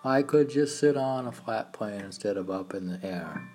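A man reading his own meditative poems in a halting way, now and then repeating or stumbling over words. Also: fairly low pitch, lively intonation, a little mellow. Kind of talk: slow meditative poetry reading. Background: piano and keyboard music.

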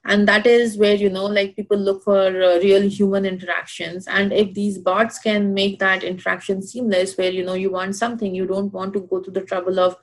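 A woman with a strong Indian accent, a guest answering interview questions, talking loosely and filling pauses with "uh" and "you know". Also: mid-range voice, level tone. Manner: many fillers, thinks aloud with "you know" and "uh". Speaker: guest expert. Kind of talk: casual conversational interview.

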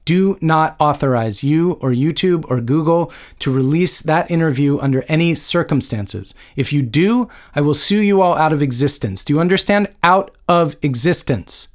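A man's voice: on the high side, normal speed, hardly any pauses, voice pushed up, close to an everyday voice. A man voicing an indignant, combative woman scientist in a story, blunt, exasperated and emphatic. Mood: threatening.

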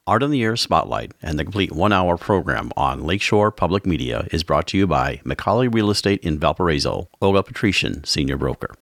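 A very deep-voiced man co-hosts an arts radio program. He reads sponsor credits and reminders in a brisk announcer style, with a dry, close sound. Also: expressive, creaky, a bit bright, dry recording. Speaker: radio show co-host.

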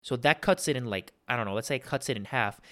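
A man's voice: mid-range voice, fast talker, fairly bright tone. A man in a casual sports discussion, explaining carefully with many restarts. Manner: analytical, hesitant restarts.

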